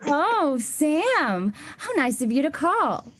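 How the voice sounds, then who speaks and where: An actress plays a teasing, spirited young woman in a radio drama. Her high voice lilts up and down, drawing words out slowly with a pointed edge. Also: sing-song, exaggerated voice. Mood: bright, pointed. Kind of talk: old-fashioned radio play acting.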